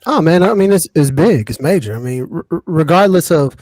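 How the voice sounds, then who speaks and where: A man's voice: on the high side, lively intonation, brisk, a little mellow, no room sound, clean studio sound. A man co-hosting a podcast, chatting casually in a laid-back, rambling, reflective way. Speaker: podcast co-host. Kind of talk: casual chat.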